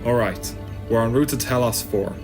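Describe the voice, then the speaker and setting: A man's voice: medium pitch, moderate pace, music in the background, close to an everyday voice. A man playing an authoritative starship captain in a science fiction audio drama. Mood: commanding, measured. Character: authoritative starship captain addressing his crew.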